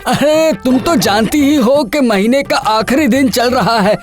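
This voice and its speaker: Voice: medium pitch, unhurried, faint music behind, lightly acted. A voice actor playing an easygoing, tea-loving husband in a cartoon, mild and placating. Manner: mild, placating, good-natured. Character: easygoing, tea-loving middle-class household husband and employer. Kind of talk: animated cartoon dialogue.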